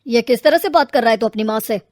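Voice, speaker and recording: A woman voicing a gruff retired father in a lowered voice. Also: fairly even intonation, normal speed, mellow, no room sound, studio quality, lightly acted.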